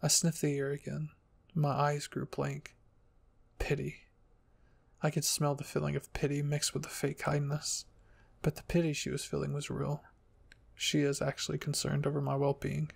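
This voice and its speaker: Husky, gravelly man reading a story. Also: a little high, monotone, normal speed. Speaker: fan fiction reader and narrator. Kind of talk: scripted first-person story narration, ASMR roleplay reading.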